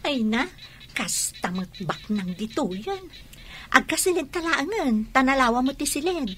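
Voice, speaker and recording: A woman's voice: high voice, animated, a little slow, a bit bright, a little hiss or hum. An actress playing a chatty, teasing young woman boarder in an Ilocano radio drama.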